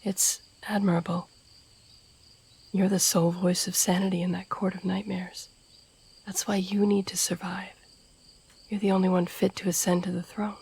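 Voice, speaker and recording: A very breathy, husky woman playing a masked assassin sworn to royalty, with gravelly edges and a restrained, even melody. The speech is hushed, formal and devoted, as in an intimate fantasy audio roleplay. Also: mid-range voice, normal speed, pauses often. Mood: earnest, admiring.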